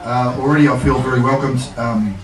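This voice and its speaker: A man's voice: mid-range voice, steady tone, a little fast. A man with a New Zealand accent, a rugby coach introducing himself at a fan evening with self-deprecating jokes and many fillers. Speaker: head coach. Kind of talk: casual speech at a fan evening. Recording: echoey room, far from the mic, crowd behind.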